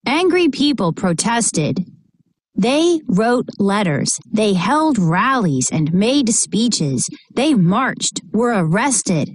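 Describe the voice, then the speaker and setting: A woman reads a children's book aloud at a slow, careful pace. Her voice sits fairly high and moves in animated rises and falls, in a close, dry recording. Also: dry recording. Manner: expressive, deliberate delivery for children. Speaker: storybook reader.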